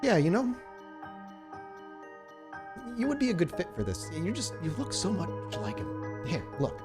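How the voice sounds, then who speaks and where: A timid young woman , acted by a man, in a tabletop game, her voice pitched up and hesitant. She stammers evasively and apologizes nervously, with clear music playing behind her. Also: huge pitch swings, unhurried, exaggerated voice. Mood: unsettled. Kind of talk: tabletop roleplay character acting.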